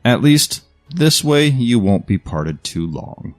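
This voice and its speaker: A man's voice: medium pitch, big pitch swings, slow, no room sound, faint music behind. A man playing a vengeful killer in a dramatized audio story, speaking with bitter, sarcastic menace. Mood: sinister mockery.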